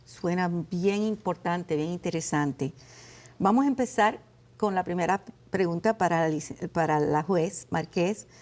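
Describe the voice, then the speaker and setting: A woman lawyer hosting a legal television program, speaking in a formal, measured way as she introduces and questions guests. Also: on the low side, darkish tone. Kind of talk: scripted TV hosting and interviewing.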